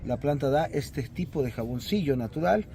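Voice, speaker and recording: A man's voice: mid-range voice, animated, normal speed, creaky, mellow, slight background noise. A man working as a tour guide, giving a salesy, theatrical explanation outdoors at a tourist site.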